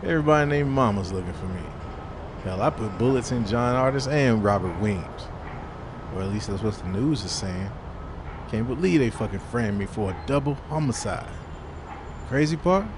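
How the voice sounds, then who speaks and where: A man's voice: medium pitch, lively intonation, normal speed, lightly acted. An actor playing a wary, foul-mouthed drifter in his thirties in an audio drama, talking in casual slang. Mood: weary, bitter. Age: 30s. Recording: noisy outdoor urban ambience.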